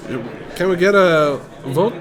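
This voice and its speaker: A man's voice: high-pitched, animated, a little slow. A man giving casual, conversational live commentary on a tabletop wargame. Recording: loud crowd chatter behind the voice. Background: busy gaming event crowd.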